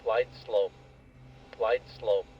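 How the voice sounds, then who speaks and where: A man voicing an automated cockpit warning system, with terse, flat, insistent altitude callouts. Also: lowish voice, drawn-out words, dull audio, noisy background.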